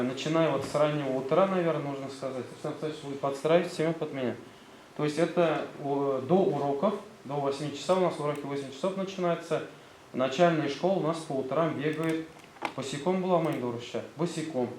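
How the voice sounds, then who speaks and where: A man's voice: a little high, fairly quick, a little mellow. A man with a Tatar accent, a school director presenting his gymnasium to visiting officials, hesitant and full of fillers. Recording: roomy sound, faint background noise.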